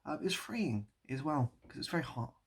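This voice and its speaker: A man talking casually to camera in a rambling, self-deprecating way with deadpan whimsy. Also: on the high side, fairly quick, husky. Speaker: video creator and host. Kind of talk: casual improvised monologue to camera.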